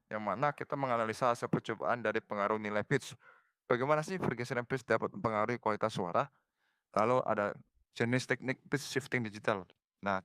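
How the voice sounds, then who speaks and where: A young man giving an explanatory slide presentation as a student, somewhat halting as he reads. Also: lowish voice, a bit bright, clear, resonant tone, no echo. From Indonesia. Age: young adult.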